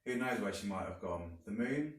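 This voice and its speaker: A man reading a children's story aloud like a teacher, deliberately and with dramatic emphasis. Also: on the low side, lively intonation. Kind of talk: expressive storybook narration. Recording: very echoey room, distant mic.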